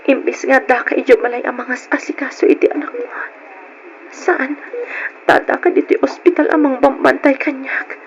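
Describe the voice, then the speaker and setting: A woman playing a sick, guilt-ridden young mother in a radio drama, weak, halting and tearful. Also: high voice, fairly even intonation, highs cut off, a little hiss or hum. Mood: weak, apologetic. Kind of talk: Ilocano radio drama acting.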